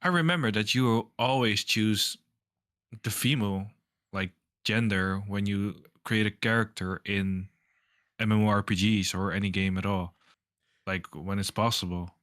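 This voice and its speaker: A man's voice: lowish voice, steady tone, moderate pace, fairly bright tone. A man chatting casually as a podcast co-host, easygoing and teasing, with laughs between his lines. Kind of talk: casual conversation.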